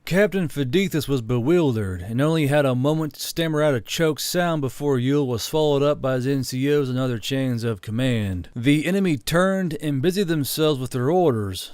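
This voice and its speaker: A man's voice: medium pitch, darkish tone, clear, resonant tone, no echo. Dry-recorded male audiobook narrator. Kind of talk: scripted fiction narration.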